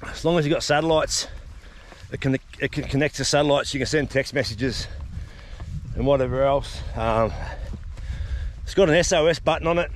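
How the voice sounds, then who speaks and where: A man's voice: medium pitch, normal speed, slight background noise. A man in his fifties with an Australian accent, chatting casually while hiking on open plains, talking into an action camera. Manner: laid-back, rambling, says "eh" and "yeah". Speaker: solo outback camping vlogger. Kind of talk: casual outdoor vlog chat. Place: outdoors on open grassy high plains. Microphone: action camera worn or handheld while walking.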